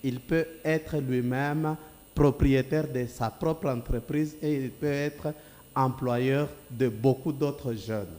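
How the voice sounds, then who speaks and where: A man leading an NGO speaks formally as a guest in a TV studio. He talks slowly with a mellow tone. His voice carries a long reverb. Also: mid-range voice, fairly even intonation. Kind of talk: TV studio interview. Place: TV studio set.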